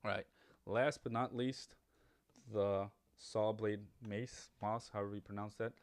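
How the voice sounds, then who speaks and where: A man's voice: lowish voice, steady tone, unhurried. Casual rambling man, Spanish native speaker. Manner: rambling, many fillers and restarts. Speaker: gaming YouTuber. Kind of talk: casual game commentary.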